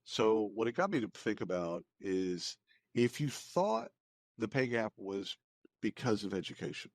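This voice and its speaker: Low-voiced American man, casual podcast talk. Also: sing-song, a little slow, dry recording. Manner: informal, repeats phrases for emphasis. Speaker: podcast co-host. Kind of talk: casual opinionated news discussion.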